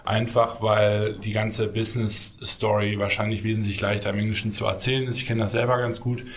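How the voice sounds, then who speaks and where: A man's voice: lowish voice, level tone, a little fast. Male podcast host, casual interviewer, filler-heavy speech. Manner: loose, filler-heavy, frequent ähm.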